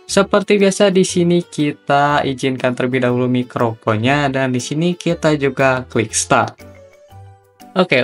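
A young man giving a chatty, slangy, step-by-step tutorial voice-over. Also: fairly high pitch. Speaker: tutorial host. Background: background music.

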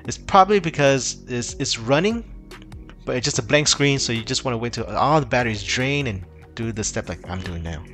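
A man's voice: medium pitch, lively intonation, fairly quick, fairly bright tone, faint music behind. Casual man talking through a tech fix. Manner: loose, rambling, many fillers and restarts. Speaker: tech tutorial host. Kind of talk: casual screen-recorded tutorial.

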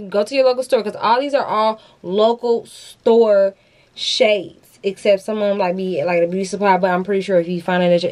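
A woman's voice: medium pitch, darkish tone. A young woman hosting a casual haul vlog, bubbly and slangy, talking straight to her viewers from a walk-in closet. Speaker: beauty vlogger host. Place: walk-in closet with shoe shelves, indoors. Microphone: camera in front at close range.

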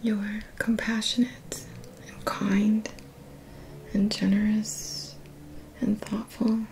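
A woman in her thirties whispers gentle, soothing affirmations as an ASMR energy healer, in a soft, breathy voice close to the mic. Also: mid-range voice, level tone, a little hiss or hum. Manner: gentle, slow, deliberate phrasing with pauses. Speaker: ASMR energy healing practitioner. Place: small dim indoor room. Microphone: close mic, seated near the camera.

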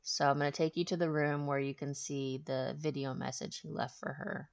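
A woman's voice: deep voice, steady tone, moderate pace, gravelly. A woman hosting a video game walkthrough, explaining things in a casual, informal way.

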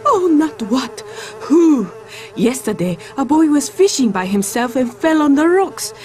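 Cast: a high-voiced old village woman, frail and half-mad, quavering between sobs and cackles. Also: big pitch swings, unhurried, mellow. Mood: haunted. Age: older. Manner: trembling, cackling, wailing.

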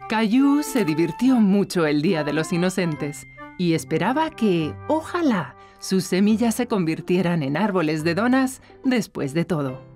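A woman's voice: lowish voice, sing-song, measured pace, a little mellow, faint music behind. A woman with a Latin American accent reading scripted narration as the storyteller of a children's cartoon.

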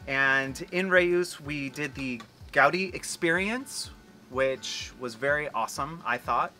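A man's voice: mid-range voice, slow, sharp tone, soft background music. A man in his forties hosting a casual family travel vlog outdoors, chatty and rambling, joking and exaggerating. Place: outdoors on a patio.

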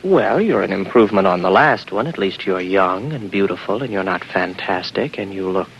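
Brief: a lonely, wry spaceman unsure what is real; low, gravelly, musing, dull vintage radio sound. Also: animated, moderate pace, slight background noise. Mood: wry, guarded. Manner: musing, talking to himself. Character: weary, wry isolated spaceman doubting his own senses. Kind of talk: 1950s radio drama acting. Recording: dull old radio recording with music.

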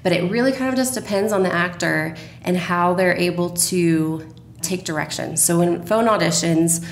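Low, creaky woman in an echoey room. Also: moderate pace. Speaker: radio drama producer, interview guest. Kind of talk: explanatory podcast conversation. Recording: roomy, echoey, faint background noise.

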